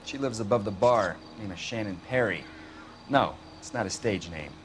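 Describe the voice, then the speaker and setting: A man's voice: fairly low pitch, expressive, moderate pace, a little hiss or hum, natural voice. An actor playing a matter-of-fact patrolman in a crime drama game, giving plain, factual reports with a dry edge. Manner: plain, factual, dryly wry. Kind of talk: scripted crime drama acting.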